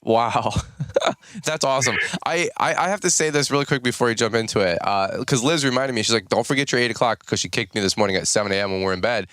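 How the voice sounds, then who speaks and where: An American man in his thirties hosting a podcast in casual, rambling chat, his voice a little raspy from just waking up. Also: mid-range voice, fast, a bit bright, no echo. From United States. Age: 30s. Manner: chatty, rambling, folksy. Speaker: podcast host.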